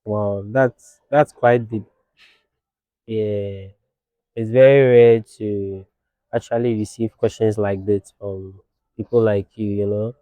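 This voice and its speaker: Young guy, low voice, soft and thoughtful, dry close sound. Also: fairly even intonation, takes it slowly, dry recording, phone-quality sound. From Ghana, born in Nigeria. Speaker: guest, fashion designer. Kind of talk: casual interview.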